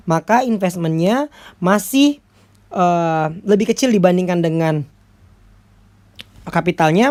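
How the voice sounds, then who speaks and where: A woman's voice: lowish voice, a little mellow. A woman with an Indonesian accent giving an explanatory lecture step by step, in a conversational manner with frequent little check-in tags. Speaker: accounting lecturer.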